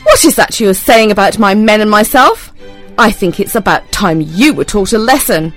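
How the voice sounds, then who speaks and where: A woman doing a booming pirate captain. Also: lively intonation, moderate pace, soft background music. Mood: stern. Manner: booming, commanding. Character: gruff bellowing male pirate captain, stern.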